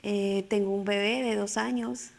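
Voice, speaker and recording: Gravelly, slow Colombian woman in a hall. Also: medium pitch, steady tone. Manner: nervous and halting. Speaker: panel guest. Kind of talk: personal testimony at a panel. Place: conference hall. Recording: reverberant hall.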